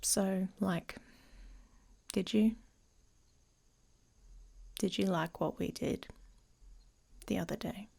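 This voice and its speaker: A woman playing a grumpy, guarded female werewolf in an intimate ASMR roleplay, speaking in a halting, breathy, often whispered murmur. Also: mid-range voice, steady tone, dark tone, full character voice. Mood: tentative, intimate.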